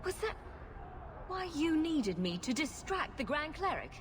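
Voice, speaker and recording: An actress playing a bold, wry rogue champion in a fantasy game, with a direct, questioning manner. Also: female voice, high-pitched, very animated, a little slow, background noise. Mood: shocked, demanding. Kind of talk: dramatic fantasy game acting.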